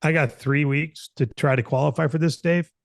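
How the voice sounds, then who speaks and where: Gravelly-edged American man in his fifties, exhorting. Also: fairly high pitch, normal speed, dry recording, studio quality. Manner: earnest, exhorting, conversational, repeats phrases for emphasis. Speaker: company founder hosting a broker announcement meeting. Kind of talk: informal motivational business talk.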